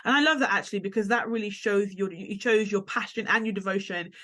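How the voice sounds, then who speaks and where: A woman's voice: medium pitch, quick pace, fairly bright tone. A woman hosting an interview podcast, chatty and upbeat, talking to her guests and listeners with plenty of asides. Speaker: podcast host. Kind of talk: casual interview chat.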